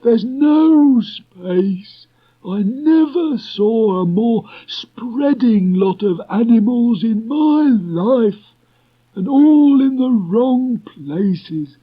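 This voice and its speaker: Gloomy, grumbling storybook donkey, very slow and strained, pitched way up, on dull cassette. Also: male voice, slightly rough, heavily acted. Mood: indignant. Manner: halting, ponderous, complaining. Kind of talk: storybook character reading. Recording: dull restored cassette tape.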